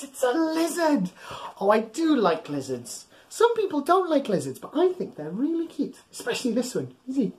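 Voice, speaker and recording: A man in his thirties reading aloud to young children in a playful, exaggerated, encouraging way, recorded in a small room at home. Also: extremely high pitch. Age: 30s. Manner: addresses listeners with questions and pauses. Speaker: children's bedtime storyteller. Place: small home room full of soft toys.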